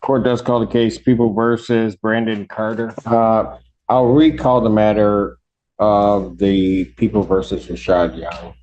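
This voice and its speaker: A man speaking as a judge, handing down rulings from the bench in a deliberate, halting official way. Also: lowish voice, steady tone, a little mellow. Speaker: judge presiding over a court hearing. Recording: dull lo-fi courtroom audio.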